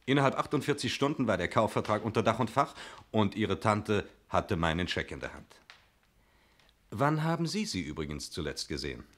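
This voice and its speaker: A man's voice: on the low side, very animated, normal speed, a bit bright. A man acting in a 1960s German radio play as an affable, talkative chalet owner, courteous, chatty and a little evasive. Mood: smooth, explaining.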